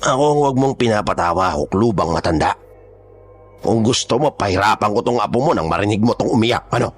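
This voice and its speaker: A man playing a gruff, blunt kidnapper boss in a horror audio drama. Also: on the low side, lively intonation, brisk, faint music behind. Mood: threatening. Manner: rough, street-tough, blunt. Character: gruff, menacing male kidnapper gang boss.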